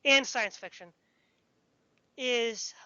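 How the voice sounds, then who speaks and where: Woman, higher-pitched, opinionated and emphatic conversational delivery with a pedantic streak; dry, slightly muffled lo-fi sound. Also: drawn-out words, bright, forceful tone, clear, resonant tone, no echo. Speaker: podcast speaker discussing fantasy. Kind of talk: opinionated casual discussion.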